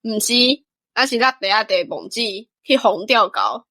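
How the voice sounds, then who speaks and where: A woman's voice: mid-range voice, animated, normal speed, a bit bright, no echo. A woman voicing a polite, earnest young country lad with a Taiwanese accent in a radio drama.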